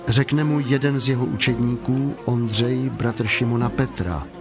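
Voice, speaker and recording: A man narrating scripture as a measured storyteller from a script. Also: medium pitch. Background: prominent music.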